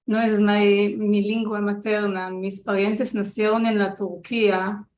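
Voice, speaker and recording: A woman from Israel, a guest, answering interview questions in a calm and measured way. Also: medium pitch. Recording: echoey room.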